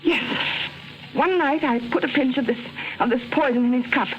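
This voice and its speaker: An actress playing a frightened wife stalling for time, in halting, theatrical old radio drama style. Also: female voice, high voice, close to an everyday voice. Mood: halting, confessional. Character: frightened wife desperately stalling, feigning a murderous confession. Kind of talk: 1940s radio drama acting. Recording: dull, noisy vintage radio recording.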